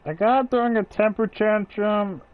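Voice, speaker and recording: A man's voice: sits high, fairly even intonation, normal speed, narrow, tinny audio, slight background noise. A man giving casual playthrough commentary on a game stream and reading dialogue aloud.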